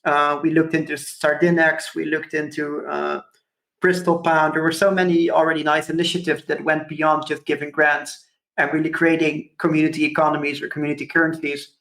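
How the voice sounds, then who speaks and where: A man's voice: a little high, level tone, brisk, gravelly, fairly bright tone. A man in his twenties from Curaçao giving a conference talk, thoughtful, with frequent ums and hedging fillers. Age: 20s. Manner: thoughtful, many ums and kind-ofs. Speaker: conference speaker. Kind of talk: presentation talk. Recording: roomy echo.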